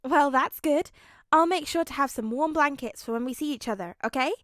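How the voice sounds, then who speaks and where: A woman's voice: sits high, fairly quick, fairly bright tone, no room sound, studio quality, voice pushed up. A woman voicing a capable, kind but stubborn teenage boy in a storybook reading. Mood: cheery, warm. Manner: focused, switching from stern to cheery.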